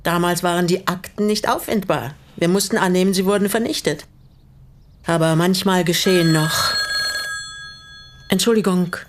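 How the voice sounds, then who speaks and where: An actress in a naturalistic radio drama playing an older East German widow who was once a prisoner, speaking deliberately and pointedly. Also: female voice, on the low side, fairly even intonation, slight background noise, close to an everyday voice. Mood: grave, bitter.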